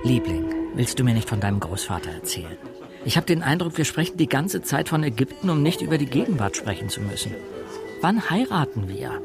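An earnest young diplomat in a radio crime play. He moves between reflective narration and dialogue in a medium-pitched voice, with prominent music underneath. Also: very animated, a little fast, creaky. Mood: tender, questioning.

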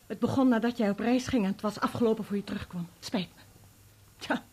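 An actress playing a remorseful, unfaithful wife in a Dutch radio play, speaking earnestly and persuasively. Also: female voice, on the high side, a little fast, a little mellow, husky. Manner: earnest, emotional, persuasive pleading. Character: unfaithful, remorseful young wife, pleading and resolute.

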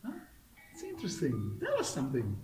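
A man's voice: mid-range voice, huge pitch swings, moderate pace. A man speaking as a theatrical interpreter, repeating a storyteller's phrases with questions and exclamations. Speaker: performer interpreting the storyteller into English. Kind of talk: theatrical interview and echoing translation. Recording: echoey, loud music behind. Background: loud music.

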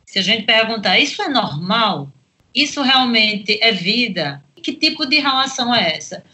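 A woman's voice: a little high, very animated, normal speed, pushed and bright. A Brazilian woman speaking as a guest in a conversational academic discussion, reasoning through questions and everyday examples.

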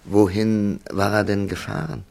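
An actor playing an inquisitive literary narrator in a German radio play, speaking in a measured storytelling manner. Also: male voice, lowish voice, vocal fry, a little mellow, dry recording. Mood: probing, quiet. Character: male literary narrator, inquisitive confidant of a young clerk.

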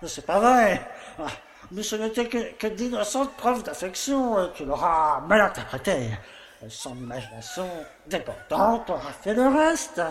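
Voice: medium pitch, sing-song, unhurried, roomy sound. An actor playing a flustered, evasive male mayor who is pompous, stammering and defensive, in an audio drama. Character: flustered, evasive small-town mayor denying accusations, male. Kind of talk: dramatic audio fiction acting.

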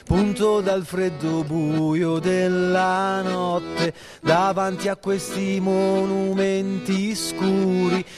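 A man's voice: sits high, level tone, very slow. A man singing a narrative ballad as part of a theatre piece. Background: loud live accordion music.

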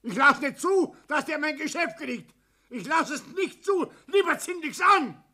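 A high-voiced old Bavarian patriarch in a radio drama. He blusters and browbeats, his pitch swinging widely as he lays down the law to his family. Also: lively intonation, unhurried, sharp tone, exaggerated voice. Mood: furious, threatening. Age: older. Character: domineering, hot-tempered old Bavarian father and businessman. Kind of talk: German radio play acting.

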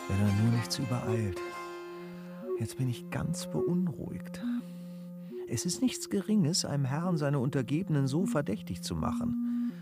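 An actor playing a scrupulous, formally spoken gentleman traveller in a classical German comedy for radio, hesitant and deliberating. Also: mid-range voice, very animated, a little slow, creaky, over loud music. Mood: uneasy, pondering. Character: cautious, scrupulous gentleman traveller of noble manners.

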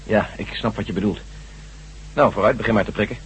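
An actor playing a weary, dutiful army general in a radio drama, gruff and resigned in manner. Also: male voice, lowish voice, fast talker, a bit bright, slight background noise, natural voice, low-quality recording.

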